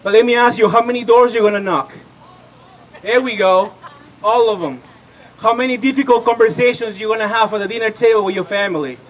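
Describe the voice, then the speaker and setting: A man's voice: high voice, a little fast, lo-fi sound, slight background noise. A man in his thirties from Peru, giving an impassioned rally speech as a political organizer and asking the crowd questions. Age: 30s. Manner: rousing, asks the crowd questions. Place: outdoor rally.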